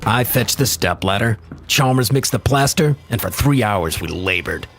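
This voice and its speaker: A male actor playing a concerned, skeptical gentleman who also narrates a horror radio play in a measured, formal way. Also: on the low side, lively intonation, vocal fry, faint music behind. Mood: somber narration.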